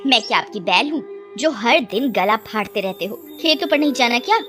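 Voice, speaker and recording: Woman playing sharp-tongued young wife, high-pitched and sarcastic. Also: animated, brisk, music in the background, natural voice. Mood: sarcastic, irritated. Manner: sarcastic, scolding. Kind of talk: dramatised Hindi moral story.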